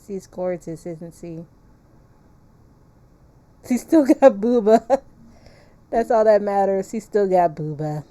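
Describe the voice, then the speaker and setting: Mellow, unhurried woman streaming a game. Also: mid-range voice. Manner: playful, joking, crude humor. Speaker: livestreamer. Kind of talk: casual game-stream chat and reading aloud.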